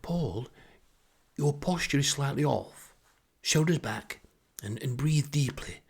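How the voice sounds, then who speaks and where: A man voicing a bossy female nurse giving measured, brisk instructions in a comic story. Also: medium pitch, expressive, vocal fry, slightly rough, pitched above the performer's own voice, full character voice.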